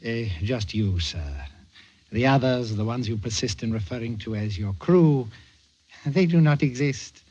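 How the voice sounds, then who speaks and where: An actor playing an unflappable, patronizing Martian psychiatrist with a clinical, humoring manner, in an old-time radio play. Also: male voice, mid-range voice, animated, unhurried, dark tone. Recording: dull vintage radio recording.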